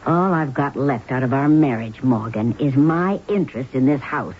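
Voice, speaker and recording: A woman's voice: very deep voice, lively intonation, normal speed. An actress playing a bitter, cutting American wife in a 1970s radio drama, dismissive of her gambler husband. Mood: scornful, cold. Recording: noisy, dull vintage radio broadcast.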